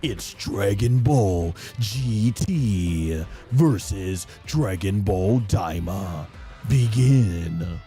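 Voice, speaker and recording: A man playing a grand video game fight announcer, formal, deliberate and dramatic. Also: on the low side, expressive, dark tone, soft background music, pitched below the performer's own voice. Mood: solemn, dramatic.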